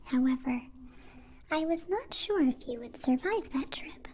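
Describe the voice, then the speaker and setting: A woman playing a gentle, naive alien friend in an ASMR roleplay, whispering breathily with careful, stilted formal phrasing. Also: high voice, animated, a little slow, slight background noise. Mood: earnest, friendly.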